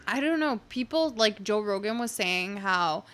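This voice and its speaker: Young woman, comedian guest, casual chat, lots of "like", bright tone. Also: mid-range voice, expressive, measured pace, smooth. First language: not English. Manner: chatty, filler-heavy with frequent "like".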